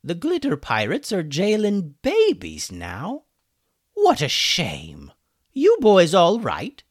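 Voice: mid-range voice, wildly swooping pitch, slow pace, dry recording, clean studio sound, pitched above the performer's own voice, exaggerated voice. A storyteller voicing a weary, sarcastic chicken wizard for a children's story. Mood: theatrical dismay. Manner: sarcastic, exasperated. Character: mocking, weary chicken wizard prisoner with human hands.

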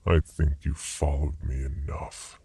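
Man doing a detached weary stranger, husky, very slow, dead flat delivery. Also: very deep voice, no echo, voice pushed down, full character voice. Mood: flat resigned.

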